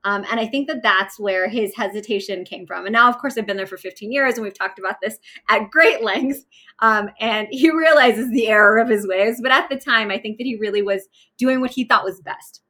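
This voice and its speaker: Bright-voiced woman telling her career story. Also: a little high, fairly quick. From Pakistan. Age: 30s. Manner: warm, candid, with light laughs and fillers. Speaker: interview guest, manager trainer.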